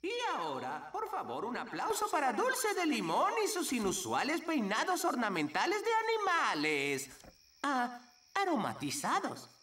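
A woman's voice: on the high side, huge pitch swings, a little slow, fairly bright tone, exaggerated voice. A woman voicing a tiny berry creature who hosts a cartoon talent show in a showy, jokey manner. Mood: ceremonious. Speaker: master of ceremonies. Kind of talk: animated cartoon stage announcing. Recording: roomy, distant, very noisy stage sound.

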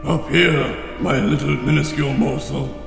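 An actor playing a huge, corpulent alien overlord, a pompous, gloating gourmet villain in a retro sci-fi audio drama. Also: male voice, medium pitch, steady tone, normal speed, gravelly, music in the background. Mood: taunting. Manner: pompous, taunting. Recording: very echoey, long reverb.

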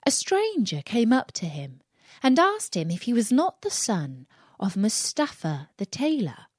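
A woman narrating a scripted fairy tale for English learners in a deliberate, expressive storytelling manner. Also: a little high, no echo, clean studio sound. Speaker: audiobook narrator.

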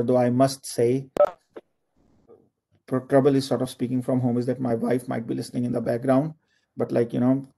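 A man's voice: mid-range voice, gravelly, warm tone. Man from Pakistan lecturing from home. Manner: chatty, many "like, you know" fillers. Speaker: university lecturer. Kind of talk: online lecture. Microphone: video call.

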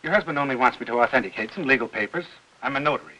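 An actor playing a polite, businesslike notary in a fifties film, formal and matter-of-fact. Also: male voice, medium pitch, very fast, sharp tone, low-quality recording. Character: brisk, polite businesslike notary paying a call. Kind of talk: 1950s film acting. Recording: old 1950s film soundtrack.

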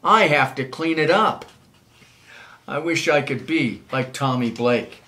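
An older man reads a children's storybook aloud in an expressive, measured way. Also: on the high side. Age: older, 70s. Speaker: children's author reading own book. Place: small wood-panelled room, indoors.

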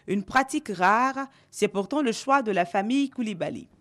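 A woman reporter reading a scripted voice-over for a TV news magazine, measured and clear. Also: mid-range voice, animated, fairly bright tone.

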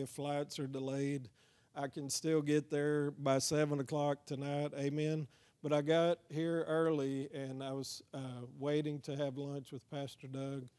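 A man's voice: a little high, darkish tone, clear, resonant tone. A man with a Texan accent preaching as an evangelist in a plain-spoken, folksy way, often checking that listeners agree. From Texas, United States. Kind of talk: evangelism training sermon from a pulpit. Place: church, speaking to a congregation.

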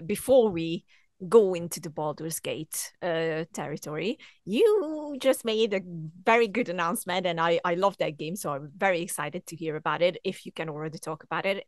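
A young woman hosting a casual video interview, enthusiastic and giggly like an excited fan. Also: lowish voice, moderate pace, dry recording, clean studio sound. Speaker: podcast host. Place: room with posters. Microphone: studio mic on arm.

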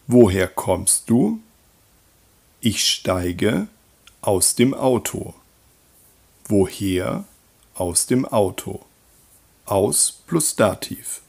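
A man teaching German grammar in a slow scripted voice-over, reading example sentences and repeating them. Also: on the low side, creaky, warm tone, husky.

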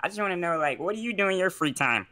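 A man's voice: high voice, lively intonation, fast, bright, forceful tone. A congested man from Florida asking awkward questions over a video call. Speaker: dating show contestant, part-time streamer. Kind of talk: casual chat on a video call.

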